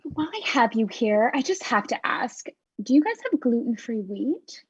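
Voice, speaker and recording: An entitled middle-aged woman shopper played by an actress, her high voice rough at the edges and swooping with disdain. She is pointedly polite and demanding, a comedy Karen heard over slightly muffled audio. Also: sing-song, moderate pace, no room sound, heavily acted. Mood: politely demanding. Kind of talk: comedy script table read. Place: remote virtual table read. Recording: muffled video-call audio.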